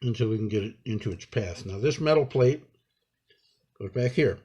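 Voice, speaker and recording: Man with a slightly husky voice, tutorial narration. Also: on the low side, lively intonation, a little mellow. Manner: unhurried, halting, talking through each step while working. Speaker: instructor demonstrating a repair. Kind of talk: hands-on tutorial narration, improvised.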